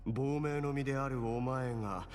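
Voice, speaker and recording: An actor playing a smug shapeshifting villain cleric in a game, composed, mocking and theatrical. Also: male voice, mid-range voice, quick pace, natural voice. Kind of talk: Japanese game voice acting. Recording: long hall reverb, game music behind. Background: game music.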